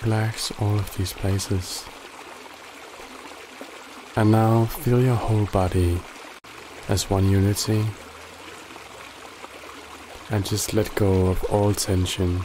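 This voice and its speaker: A man guiding a body scan meditation in a calm, gently instructive way with long pauses. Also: fairly low pitch, noisy background. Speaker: meditation guide. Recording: loud running water behind the voice. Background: trickling stream water ambience.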